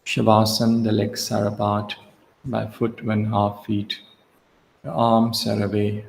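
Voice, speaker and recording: Slow, low-voiced man guiding meditation. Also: monotone, gravelly. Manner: measured, short instructive phrases with long pauses. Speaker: yoga nidra instructor. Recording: echoey reverberant room, faint background hiss.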